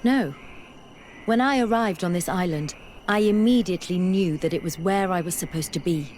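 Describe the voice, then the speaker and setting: A woman plays a young female scholar in a fantasy video game, with a fairly low voice. She is keen and curious about the natural world, and she speaks earnestly in carefully formed sentences. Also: moderate pace, a little mellow, a little hiss or hum, natural voice. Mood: eager. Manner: earnest, articulate. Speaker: companion character. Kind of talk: fantasy game dialogue acting.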